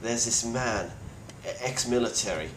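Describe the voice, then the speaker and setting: A man playing a grim, weary plague survivor, speaking gravely and bluntly in a halting video-diary monologue. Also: medium pitch, a bit bright, natural voice. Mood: earnest, advising. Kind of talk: in-character monologue, found-footage video diary. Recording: roomy echo with clear background noise.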